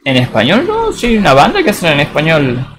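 A man's voice: fairly high pitch, huge pitch swings, a little fast, background noise. Rambling Argentine guy streaming a game. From Tucumán, Argentina. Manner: rambling, slangy, says boludo often. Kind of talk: casual live-stream chat while playing.

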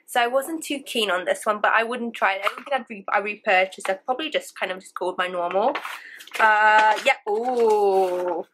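A gushing, rambling woman in her twenties, a little stuffy from a cold, with a fairly high voice. She chats into a camera mic in her bedroom, with steady background noise underneath. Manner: chatty, gushing, rambling with fillers. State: slightly congested from a cold. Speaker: beauty vlogger. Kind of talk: casual product review chat. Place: bedroom with shelves, indoors.

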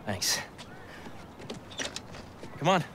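An actor playing an eager, warm young man reuniting with his best friend in a video game cutscene. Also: extremely slow, noisy background. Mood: nostalgic. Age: young adult. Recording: game audio with music behind.

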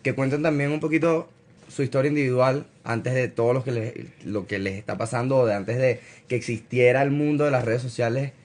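A man's voice: mid-range voice, fairly even intonation, darkish tone. Joking man in his twenties. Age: 20s. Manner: joking, rapid explaining. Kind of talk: casual chat. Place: hotel-style bedroom, indoors.

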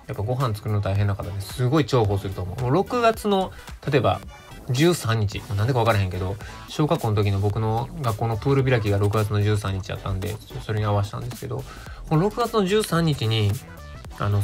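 A man's voice: mid-range voice, creaky. A man around thirty with a Kansai accent, hosting a casual radio show as a solo monologue.

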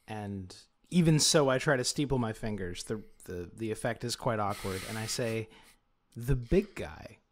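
Deadpan man roleplaying over background noise. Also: fairly low pitch, moderate pace. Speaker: tabletop game player. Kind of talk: first-person roleplay narration.

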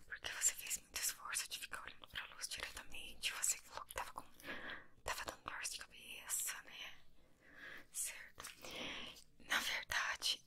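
A young woman with a Brazilian accent plays an optician in an ASMR roleplay. She whispers very close to the microphone in an unhurried, soothing way. The breathy sound is sharply bright, with some crackle in it. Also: extremely low pitch. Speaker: ASMR creator playing an optician. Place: small indoor room. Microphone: close desktop binaural microphone with foam cover.

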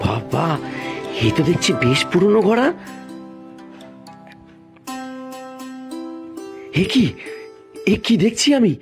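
A man's voice: high-pitched, big pitch swings, normal speed, gravelly, music in the background, exaggerated voice. A man playing a poor, anxious, scheming village farmer in a cartoon, with a theatrical, coaxing way of talking. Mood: amazed. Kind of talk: cartoon voice acting.

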